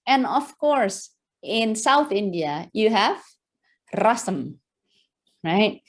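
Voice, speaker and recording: Woman with an Indonesian accent, measured pace, enthusiastic lecturer, asks the audience questions, laughs often. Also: mid-range voice, very animated. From West Java, Indonesia. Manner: engaging, quizzes the audience, frequent rhetorical "right?". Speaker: guest lecturer.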